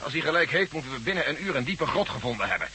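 Fast, fretful space traveller. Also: male voice, on the high side, big pitch swings, sharp tone, exaggerated voice. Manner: fretful, complaining. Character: agitated, pessimistic space traveller, adult man. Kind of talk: science-fiction radio drama acting. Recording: slightly noisy old radio recording.

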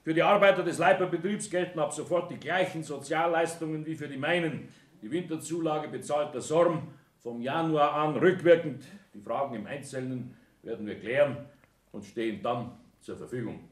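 An actor playing a pompous small-town builder, giving a stiff, formal speech in a radio drama. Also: male voice, a little high, moderate pace, natural voice. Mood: businesslike. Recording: roomy 1960s radio studio.

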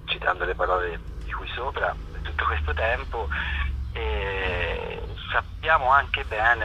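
A middle-aged man giving halting, reflective, spontaneous interview answers as a festival director, often repeating words. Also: low-pitched, pushed and bright, a little hiss or hum, low-quality recording.

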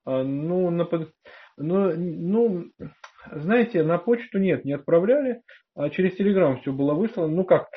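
Halting, higher-voiced man on dull phone line. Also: lively intonation, breathy edge. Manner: hesitant, halting, polite. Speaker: prospective student, applicant. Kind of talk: phone conversation with an official.

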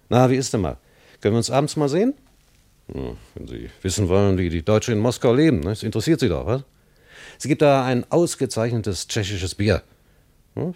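A man's voice: lowish voice, warm tone, no echo. A man playing a self-assured, wary, chummy West German diplomat who lectures in a German radio play. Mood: chummy, inviting. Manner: lecturing, chummy, punctuated with small tag questions.

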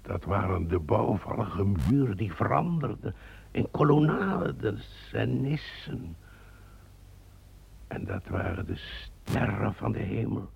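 Man playing a brooding, gravelly traitor's heir. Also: fairly low pitch, exaggerated voice. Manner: solemn, measured, visionary storytelling. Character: brooding male guide, descendant of a traitor. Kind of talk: radio play acting. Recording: dull lo-fi old radio recording.

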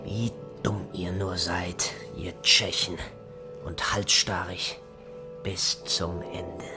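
An actor playing a smooth, menacing Nazi interrogator in a German radio drama, mock-polite and condescending, with deliberate pauses and drops into whispering. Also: male voice, low-pitched, level tone, over music. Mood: quiet menace.

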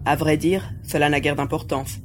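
A man playing a calm, analytical spaceship crew leader, measured and formal, in a science fiction audio drama. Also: fairly high pitch, soft background music, lightly acted. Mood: calm concern.

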